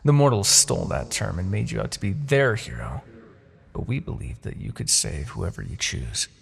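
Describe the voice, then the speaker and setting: A man playing a proud, vengeful demon king in a fantasy roleplay, speaking with a gravelly, husky voice and a theatrical, deliberate, imperious manner. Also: on the low side, huge pitch swings, full character voice. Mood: coaxing, intimate. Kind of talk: fantasy ASMR roleplay monologue.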